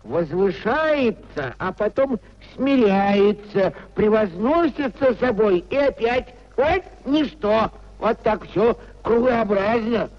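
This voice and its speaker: An old government clerk, self-pitying and piously pompous, in a 1950s radio drama. The voice is high and very unhurried, with unctuous, sententious phrasing. The recording is dull and dated. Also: male voice, lively intonation. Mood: moralizing. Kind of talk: 1950s Soviet radio theatre acting.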